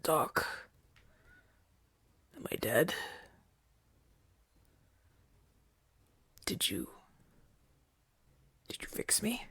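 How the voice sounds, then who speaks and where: Male actor as injured gladiator, proud and brash, weary, slow halting speech, raspy breathy voice, ASMR audio drama. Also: on the high side, big pitch swings, sharp tone, no room sound, exaggerated voice. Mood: weary, gruff. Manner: breathy, halting, weary delivery with gruff bluster. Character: wounded male gladiator champion, brash, proud, trusting his doctor.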